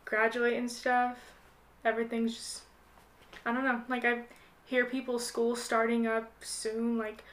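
A woman's voice: on the high side, monotone, measured pace. A young American woman in her late teens, chatting casually as a podcast guest in a hesitant, rambling way with lots of "like". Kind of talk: casual chat.

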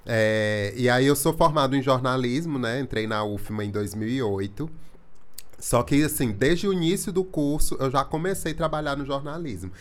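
A man's voice: medium pitch, moderate pace. A man in his thirties with a strong Maranhão accent, a talkative podcast guest telling his story in casual chat. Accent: Maranhão Brazilian Portuguese. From Imperatriz, Maranhão, Brazil.

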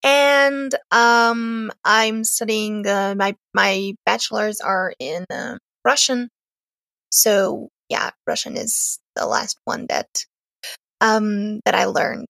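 A woman's voice: medium pitch, takes it slowly, vocal fry, fairly bright tone, no room sound. A young woman from Serbia, a native Serbian speaker, chatting as a podcast guest, hesitant and often laughing. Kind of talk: casual interview chat.

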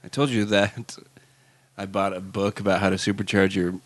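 A man in his thirties, talking quietly and low-key in a casual podcast chat on a microphone. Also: flat delivery, moderate pace.

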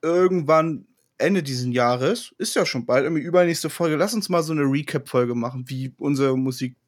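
A young man co-hosting a podcast, rambling excitedly and often laughing. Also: a little high, moderate pace. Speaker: podcast co-host. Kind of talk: casual chat.

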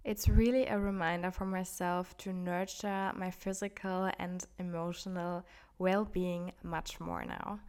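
Woman, flu-affected voice, podcast host, calm, soft-spoken, gently encouraging. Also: mid-range voice. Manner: calm, gently encouraging self-care advice. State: sick with the flu, voice off. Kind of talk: solo wellness podcast monologue.

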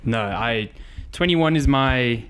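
A man's voice: medium pitch, expressive, slow, fairly bright tone, a little hiss or hum. A young man talking hesitantly with a friend, stumbling over his words and laughing easily. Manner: hesitant, lots of fillers and restarts, laughs easily. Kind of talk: casual chat between friends.

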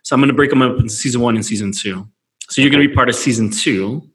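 A relaxed male podcast host with a bright, forceful voice who laughs easily and talks in loose, friendly bursts. He keeps the chat casual and quick to restart his sentences. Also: mid-range voice, low-quality recording.

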